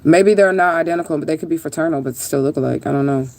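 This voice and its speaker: A woman's voice: sits low, fast talker, a little mellow, dry recording. A woman chatting casually on a livestream and answering viewers in a rambling, laid-back, sometimes profane way. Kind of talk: casual live chat, answering viewer comments.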